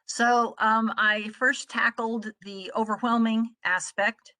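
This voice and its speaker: American woman, middle-aged, bright crisp-edged tone, dry close sound, articulate explanatory presenter. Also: fairly high pitch, fairly even intonation, slow, dry recording. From Midwestern United States. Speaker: trainer presenting on a conference call.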